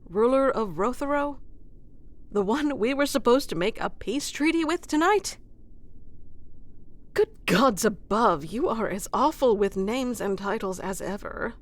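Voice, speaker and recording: A woman's voice: on the high side, animated, a little slow. A woman playing a wry, composed, battle-worn ruler in a scripted fantasy audio roleplay, with formal, teasing, dry delivery. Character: wry, composed female ruler of a fantasy kingdom, wounded ex-fighter.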